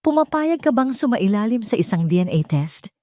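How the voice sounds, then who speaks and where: A woman voicing a courteous, sympathetic male attorney in an audio story, speaking in a measured, formal way. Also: fairly high pitch, big pitch swings, warm tone, no echo, studio quality, voice pushed up.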